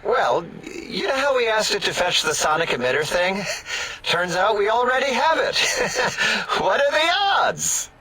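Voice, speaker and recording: An actor playing a touchy, fussy, whining robotic brain scientist in a sci-fi comedy game. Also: male voice, sits high, very animated, measured pace, pushed and bright, slightly rough, heavily acted, poor audio. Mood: timid, excited. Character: whiny, touchy, nerdy robotic brain scientist, easily wounded.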